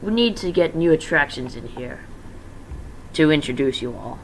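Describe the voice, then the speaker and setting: A man's voice: a little high, lively intonation, moderate pace, slight background noise, poor audio. A man voicing a scheming businessman villain who talks in a falsely reassuring way, in a fan-story reading. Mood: slyly scheming. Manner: smooth-talking, falsely soothing.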